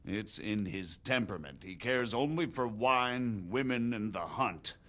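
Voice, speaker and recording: A man's voice: fairly low pitch, animated, unhurried, a bit bright. An actor playing a middle-aged nobleman who earnestly explains royal politics in formal game dialogue. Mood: grave. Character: grave middle-aged male nobleman, measured and persuasive. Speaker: visiting margrave explaining politics. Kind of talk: video game cutscene dialogue.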